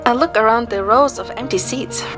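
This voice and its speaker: Higher-voiced young woman narrating romance. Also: normal speed. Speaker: amateur narrator and voice actor. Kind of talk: first-person romantic story narration with acted dialogue. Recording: music behind the voice.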